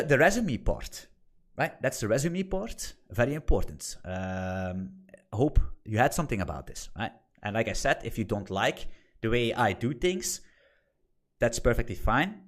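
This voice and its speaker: A man's voice: medium pitch, a bit bright. Blunt Dutch man giving career tips. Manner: blunt, swearing, repeats points for emphasis. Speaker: course instructor. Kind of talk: informal screen-share lesson.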